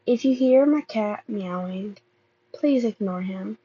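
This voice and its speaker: A woman reading fan fiction aloud as an amateur narrator, halting and often stumbling over and repeating words. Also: medium pitch, slightly rough, dull audio. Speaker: amateur podfic reader.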